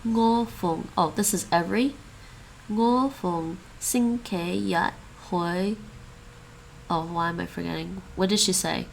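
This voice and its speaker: Woman, grew up with English and Chinese, slightly low creaky voice, casual language-study chatter, thinking aloud, faint background noise. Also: slow, poor audio. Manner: thinks aloud, repeats foreign phrases. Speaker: streamer practicing languages.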